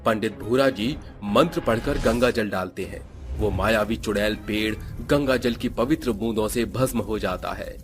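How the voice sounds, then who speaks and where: A man narrating a cartoon horror story in an even storytelling manner. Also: fairly low pitch, moderate pace, soft background music.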